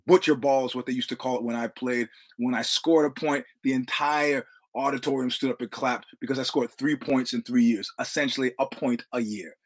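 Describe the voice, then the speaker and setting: A man's voice: medium pitch, fairly even intonation, brisk, few pauses. A man, hosting his show and telling a profane, swaggering story while acting out the dialogue. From New York, Brooklyn. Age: 60s. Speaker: podcast host. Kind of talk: casual storytelling monologue.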